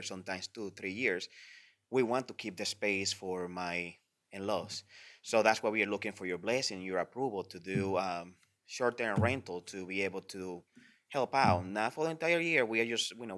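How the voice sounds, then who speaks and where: Man from the Dominican Republic, English not his first language, earnest, filler-heavy, lowish voice, bright tone. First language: Spanish. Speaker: permit applicant. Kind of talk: public comment at a hearing.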